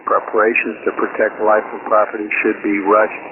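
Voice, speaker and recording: Over a thin, static-laden shortwave link comes a man with a fairly low voice. He is a radio operator relaying an official weather advisory. His reading is halting, and he trips on names and corrects himself as he goes. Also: completely flat delivery. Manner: halting, stumbles and self-corrects on place names. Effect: shortwave ham radio transmission. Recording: tinny, very noisy shortwave radio signal. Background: loud radio static and noise.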